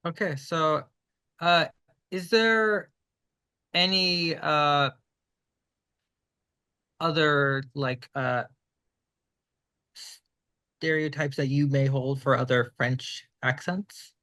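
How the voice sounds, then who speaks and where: Slow-talking man asking questions. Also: a little high, pushed and bright, dry recording. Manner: hesitant questions with long fillers. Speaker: interviewer.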